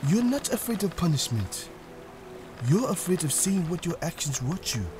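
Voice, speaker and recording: A probing fantasy travelling companion, formal and dryly witty. The voice is high and moves freely in pitch over music, in audio-drama style. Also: male voice, very animated, moderate pace. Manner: formal, dry.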